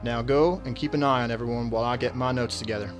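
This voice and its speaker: A man playing a composed, courteous detective taking charge in a radio drama, formal and measured. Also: medium pitch, fairly even intonation, soft background music, close to an everyday voice. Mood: reassuring.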